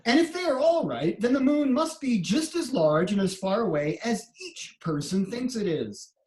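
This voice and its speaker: A woman playing a kindly, clever male court jester in a storybook readers theater, with playful, drawn-out phrasing. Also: a little high, big pitch swings, a little mellow. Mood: musing. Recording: roomy echo, some background noise.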